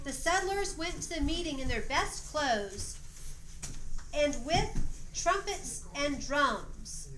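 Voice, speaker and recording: Woman, high voice, read-aloud storytelling, coaching and prompting, echoey room, noisy amateur recording. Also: animated, takes it slowly, fairly bright tone. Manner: coaching, prompting others to repeat lines. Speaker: narrator and prompter of a homemade family play. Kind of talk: read-aloud storytelling with off-the-cuff stage directions.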